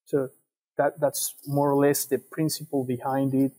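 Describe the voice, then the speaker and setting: A man in his thirties with an Ecuadorian Spanish accent, explaining research in an interview in a measured, slightly halting way over a video call. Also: mid-range voice, flat delivery, mellow, slightly rough. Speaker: guest, animal science PhD researcher. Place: empty classroom, indoors. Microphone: wired earphones on a video call.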